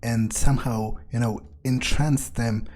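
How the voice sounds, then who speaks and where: A man's voice: medium pitch, fairly even intonation, unhurried, a little mellow. A man doing in-character video game commentary, thinking aloud in a blunt, muttering, profane way. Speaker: video game player role-playing his character. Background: game music.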